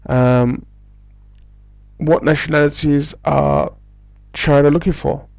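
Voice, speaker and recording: A man's voice: a little high, flat delivery, slow, gravelly, a little mellow, dry recording. A man from England with an English accent, giving rambling, casual advice about teaching jobs, full of fillers and restarts. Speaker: English school recruiter.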